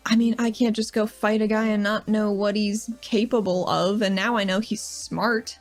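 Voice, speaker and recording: A woman's voice: mid-range voice, steady tone, normal speed, soft background music. A woman playing her character in a tabletop game, speaking in a casual, rambling way as she recaps events. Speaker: player.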